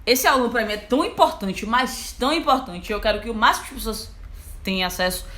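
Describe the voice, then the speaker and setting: A young Brazilian woman, an engineer, talking casually to her online audience as a mentor, lively and chatty with many fillers. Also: fairly high pitch, fairly quick. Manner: lively, chatty, frequent fillers like "então, assim". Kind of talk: casual live talk to an audience.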